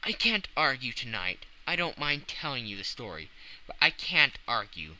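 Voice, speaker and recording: A man voicing a weary, starving Victorian gentleman time traveler in an audiobook, emphatic and earnest. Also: mid-range voice, sing-song, normal speed, low-quality recording. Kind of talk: audiobook character voice.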